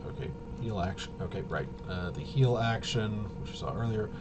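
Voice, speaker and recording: A man casually explaining game rules unscripted, halting and rambling as he thinks aloud. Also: expressive. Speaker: tabletop RPG reviewer and explainer. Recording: clearly noisy with low rumble.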